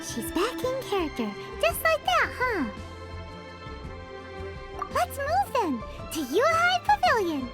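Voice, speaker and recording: A woman's voice: extremely high pitch, huge pitch swings, slow, long pauses, full character voice. A woman voicing a small, chirpy, childlike fairy companion in an anime video game. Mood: cheerful. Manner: chirpy, exclamatory. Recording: music playing loudly behind.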